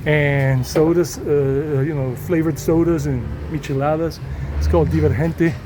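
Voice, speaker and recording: A man's voice: on the high side, fairly even intonation, moderate pace. Chatty man walking a busy street. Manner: chatty and rambling, switching into Spanish with locals. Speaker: walking tour vlogger. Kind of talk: casual walking tour narration. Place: city street outdoors. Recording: outdoor street noise. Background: traffic and street noise.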